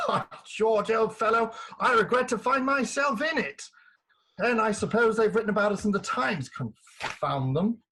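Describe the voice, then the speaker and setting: An actor playing a bluff, gallant army colonel in disgrace, husky, with an old-fashioned gentlemanly manner, in a staged farce. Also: male voice, high-pitched, animated, unhurried, slight background noise, heavily acted. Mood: rueful.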